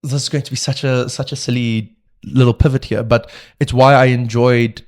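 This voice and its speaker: Relaxed young man telling stories. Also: mid-range voice, normal speed. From South Africa. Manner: relaxed, reflective storytelling with frequent fillers. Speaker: podcast guest. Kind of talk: casual podcast conversation.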